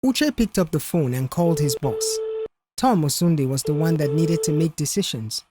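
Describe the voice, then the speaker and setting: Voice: medium pitch, lively intonation, moderate pace, background noise. An audiobook narrator reading a scripted story in a dramatic storytelling style.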